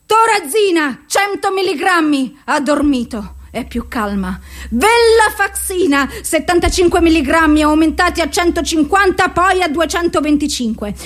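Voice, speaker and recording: A high voiced clinical woman reading out a psych case file, clipped lists, radio play. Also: expressive, moderate pace, fairly bright tone. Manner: clipped, list-like recital of symptoms and doses. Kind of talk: theatrical radio drama monologue.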